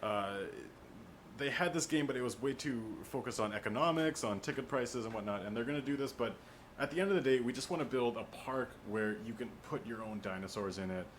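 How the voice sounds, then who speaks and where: A man with a medium-pitched voice takes part in a panel. He explains his ideas in casual chat, with faint noise in the background. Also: fairly quick.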